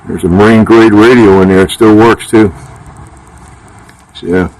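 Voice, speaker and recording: A man's voice: fairly low pitch, steady tone, moderate pace, darkish tone. A man chatting casually and unscripted as he reviews gear, rambling bluntly with salty slang, outdoors under a carport. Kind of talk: unscripted casual product chat. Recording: noisy outdoor recording with ambient hum.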